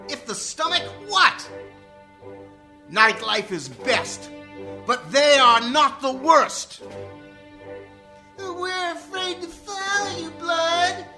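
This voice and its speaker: A woman's voice: high voice, bright, forceful tone, exaggerated voice. A woman playing a drunken, ranting college frat misfit in an amateur radio comedy. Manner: ranting, declamatory. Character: drunken, blustering male frat misfit rallying his friends.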